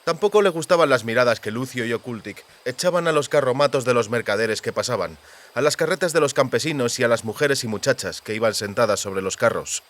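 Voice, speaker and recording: Male audiobook narrator, medium pitch. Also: lively intonation, fairly quick, fairly bright tone. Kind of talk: scripted literary narration.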